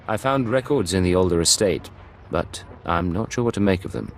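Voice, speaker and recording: An actor playing a cool imperial intelligence agent in a video game, speaking in terse, understated lines. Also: male voice, low voice, lively intonation, normal speed, a little hiss or hum. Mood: cool, reserved.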